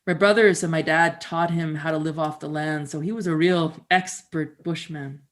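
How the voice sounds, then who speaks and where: Woman voicing a gentle elder woman reminiscing. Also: sits low, steady tone, moderate pace, natural voice.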